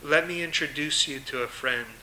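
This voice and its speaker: A man voicing a friendly female raccoon who acts as a calm, reassuring guide in a storybook fable. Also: fairly high pitch, moderate pace, slight background noise, poor audio. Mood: calm, guiding.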